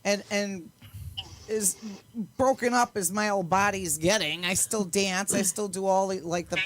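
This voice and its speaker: A woman's voice: medium pitch, measured pace. An older woman in casual chat, self-deprecating and often laughing as she talks. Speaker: guest, singer and entertainer.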